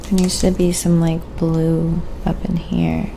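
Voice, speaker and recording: A woman with a mellow, softly spoken voice that crackles with vocal fry. She muses aloud in an unhurried, casual way, as if hosting a relaxed ASMR art stream, with faint noise in the background. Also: on the low side. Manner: unhurried, musing aloud while working. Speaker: livestream host, painter. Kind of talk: casual ASMR painting livestream chat.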